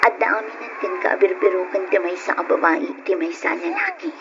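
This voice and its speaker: A woman's voice: on the low side, big pitch swings, normal speed. An actress playing a practical young Filipino woman dissatisfied with her poor boyfriend in a radio drama. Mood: serious, disappointed. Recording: muffled noisy old radio recording.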